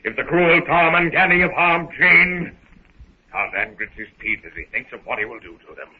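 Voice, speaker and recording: A man's voice: a little high, animated, normal speed, husky. A man giving scripted, dramatic narration for a 1930s adventure radio serial in a theatrical storytelling manner. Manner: theatrical, present-tense storytelling. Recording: scratchy, phone-like 1930s radio recording.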